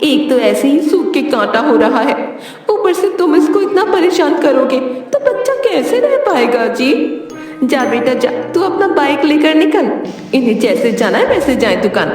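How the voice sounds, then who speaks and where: Indignant, protective cartoon mother actress, high voice, scolding, in a very echoey distant recording. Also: sing-song, moderate pace, a little mellow. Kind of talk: Hindi cartoon voice acting. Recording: very echoey, distant, faint music behind.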